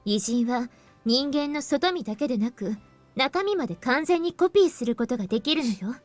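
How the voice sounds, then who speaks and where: A woman's voice: high-pitched, lively intonation, rapid-fire, no echo, faint music behind. A woman playing a composed young female team leader in a Japanese visual novel, explaining things politely and with purpose. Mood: composed, purposeful.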